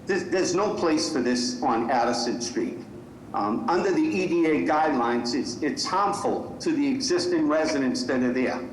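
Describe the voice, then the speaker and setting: Brief: earnest man objecting at a hearing, higher voice, echoey. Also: expressive, moderate pace, slight background noise. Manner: earnest, repeats words. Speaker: public commenter. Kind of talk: public testimony. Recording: echoey call audio.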